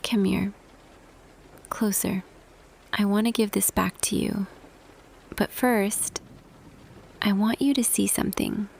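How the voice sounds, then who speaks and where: A woman playing a tender, quietly smitten office boss in an intimate ASMR roleplay, speaking softly and deliberately, often half whispering. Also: mid-range voice, gravelly, slight background noise. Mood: hushed, intimate. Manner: slow, hushed, deliberate, pausing. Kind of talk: ASMR roleplay audio, intimate scripted monologue.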